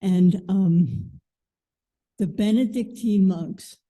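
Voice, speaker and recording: A woman's voice: mid-range voice, very slow, warm tone. A woman from a congregation sharing a casual, personal reflection aloud.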